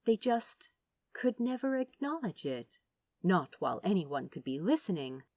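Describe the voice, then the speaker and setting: Animated, low-pitched woman narrator. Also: dry recording. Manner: expressive, theatrical storytelling with dramatic pauses. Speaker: podfic narrator. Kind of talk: dramatic fan fiction audiobook narration.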